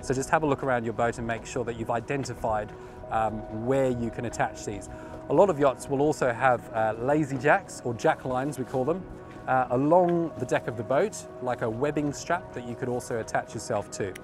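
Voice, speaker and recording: Male instructor, 30s, medium pitch, informal explanatory demo to camera, lapel mic outdoors, music bed. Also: moderate pace. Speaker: sailing instructor. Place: outdoors at a marina, on a yacht deck and dock. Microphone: clip-on lapel mic.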